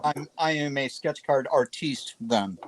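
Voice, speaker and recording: Unhurried male guest, rambling and wry, light background hiss. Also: mid-range voice. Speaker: guest artist. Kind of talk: casual live-stream chat.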